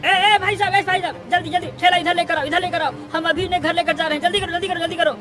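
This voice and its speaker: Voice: very high voice, steady tone, very fast, over music, a crowd in the background. An actor voicing an urgent, caring younger village man in a Hindi cartoon story. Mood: urgent, worried.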